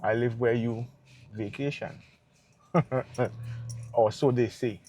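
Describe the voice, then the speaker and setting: A man from Tobago with a Tobagonian accent, talking casually to his viewers in a relaxed, rambling way. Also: medium pitch, slow pace, a little hiss or hum. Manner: relaxed and rambling, checks in with "right?". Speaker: vlogger. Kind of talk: casual vlog talk to viewers.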